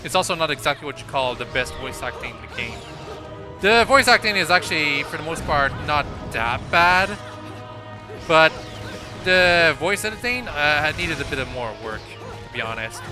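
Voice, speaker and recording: A man's voice: on the high side, animated, moderate pace, music in the background. A man hosting a gameplay video with casual, unscripted commentary in a rambling, explanatory way. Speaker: gaming channel host.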